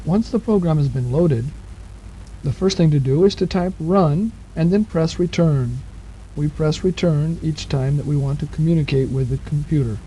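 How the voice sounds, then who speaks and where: A man's voice: a little high, moderate pace, mellow. A man narrating step-by-step instructions in a patient, plain-spoken, explanatory way, on an old 1970s cassette recording. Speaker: instructor narrating a program manual. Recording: muffled 1970s cassette tape with hiss.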